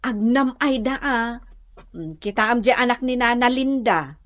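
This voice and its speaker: A woman's voice: a little high, lively intonation, measured pace. A woman playing a practical, gossipy, opinionated village cousin in a radio comedy drama, emphatic, with a Northern Luzon Filipino accent.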